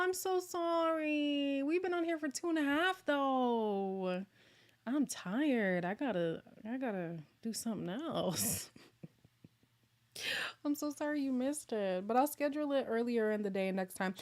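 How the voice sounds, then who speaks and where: A woman from Canada hosting a casual live chat with viewers, excitable and laughing often, her voice getting a little hoarse. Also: medium pitch.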